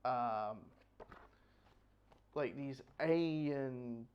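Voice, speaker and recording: A man's voice: medium pitch, very slow, smooth. A man giving a casual spoken comic book review, rambling and repeating phrases as he goes. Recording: dull, lo-fi audio.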